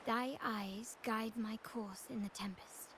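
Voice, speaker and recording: An actress playing a formal, cool and calculating heiress of a powerful family in a video game, speaking in measured, composed lines. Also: female voice, a little high, fairly even intonation, background noise. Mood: grave, composed.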